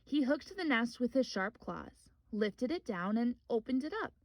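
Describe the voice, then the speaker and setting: Female children's story reader: high voice, animated intonation, simple encouraging delivery aimed at little listeners. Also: measured pace, reverberant, far from the mic. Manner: simple questions and encouragement addressed to small children. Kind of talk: expressive read-aloud for young children.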